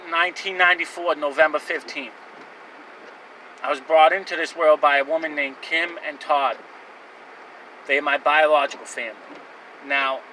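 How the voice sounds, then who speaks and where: A man's voice: on the high side, sharp tone, recorded from a distance, slight background noise, poor audio. A man in his twenties telling his own life story to camera in a rambling, candid way, with fillers and restarts. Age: 20s. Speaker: vlogger.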